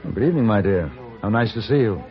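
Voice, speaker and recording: An actor playing a suave, self-possessed gentleman gunfighter in an old radio western, urbane and courteous. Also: on the low side, expressive, fast talker, faint music behind, close to an everyday voice. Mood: smooth, courteous. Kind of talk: 1950s radio western acting. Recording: dull old radio broadcast.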